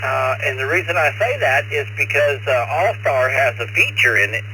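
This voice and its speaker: A man's voice: medium pitch, lively intonation, moderate pace, pushed and bright, low-quality recording. A man chatting casually as an amateur radio operator, in a folksy, talkative way, repeating words as he goes. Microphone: radio transceiver over internet link. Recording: amateur radio link with faint noise.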